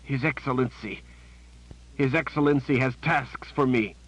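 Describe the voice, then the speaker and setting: A man's voice: medium pitch, unhurried, voice pushed up, close to an everyday voice, low-quality recording. A man voicing a young male prophet crying out in anguish.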